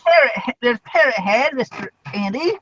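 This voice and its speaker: A man hosting a casual live stream over a video call, talking in a quick, rambling way. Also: very high-pitched, animated, a bit bright, no echo. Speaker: show host. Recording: dull dry lo-fi audio.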